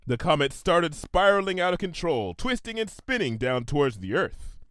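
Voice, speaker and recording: A man's voice: on the high side, sing-song, normal speed, a bit bright, dry recording, clean studio sound. A man narrating a comedic fan fiction story in a theatrical, emphatic manner, drawing phrases out for suspense.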